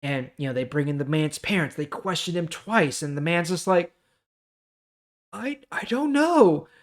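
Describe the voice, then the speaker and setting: A man's voice: a little high, a little fast. A man hosting a Bible reading, explaining scripture informally and checking in with the listener.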